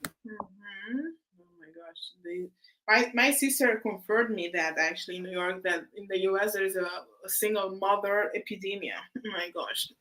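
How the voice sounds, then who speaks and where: A woman's voice: mid-range voice, moderate pace, bright, forceful tone, poor audio. A woman host, chatting casually on a video call, often laughing and restarting her words. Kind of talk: casual live chat.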